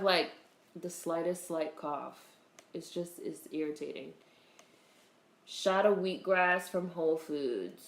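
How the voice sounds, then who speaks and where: A woman hosting a casual livestream, greeting viewers in a relaxed, chatty way while congested with a cold. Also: lowish voice, echoey room. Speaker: livestream host.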